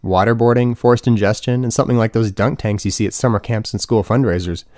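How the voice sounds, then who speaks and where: A man's voice: on the low side, steady tone, fast, a little mellow, no echo. A man in his twenties reading his own humorous book as scripted narration, with wry, dry comic asides. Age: 20s. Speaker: author reading own audiobook.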